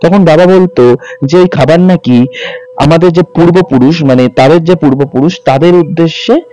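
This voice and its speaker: A man narrating a ghost story as a calm, steady first-person account told from a woman's point of view. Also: a little high, normal speed, poor audio.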